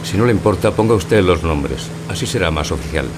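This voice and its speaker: A man's voice: expressive, fairly quick. A man from playing a bewildered, wounded lover in a radio theatre parody of a classic film. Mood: matter-of-fact. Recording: clear background hum and rumble.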